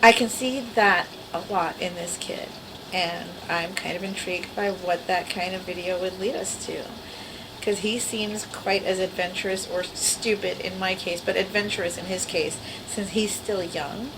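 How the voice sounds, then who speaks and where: A woman's voice: lowish voice, steady tone, normal speed, noisy background. A woman in her forties with a small, girlish voice, chatting casually and wryly to her camera at home. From the Bronx, New York. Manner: rambling, self-deprecating, wry. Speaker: vlogger. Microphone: camera mic.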